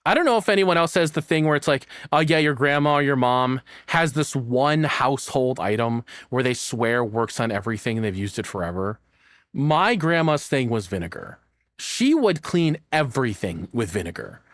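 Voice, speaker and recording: A man's voice: medium pitch, dry recording. Male reaction streamer, mid-range voice, unscripted and rambling, foul-mouthed joking, very dry sound. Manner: rambling, sweary, jokey. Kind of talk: casual off-the-cuff reaction commentary.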